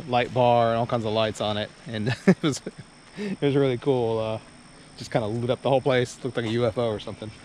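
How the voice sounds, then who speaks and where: A man's voice: medium pitch, fairly quick, darkish tone. A man narrating a casual camping vlog outdoors, rambling and hesitant, with easy chuckles. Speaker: channel host. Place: outdoors at a campsite. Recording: outdoor background noise.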